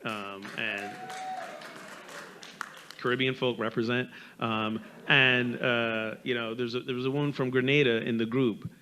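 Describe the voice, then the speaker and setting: A middle-aged man with a light Barbadian accent, speaking candidly and reflectively on a panel, in a halting way with repeated words. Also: mid-range voice, steady tone, bright, forceful tone, a little hiss or hum.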